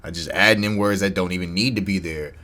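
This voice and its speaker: A man's voice: deep voice, fairly even intonation, fast talker, sharp tone. A man in his twenties, co-hosting a casual podcast chat, joking about himself and laughing often. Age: 20s. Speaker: podcast co-host.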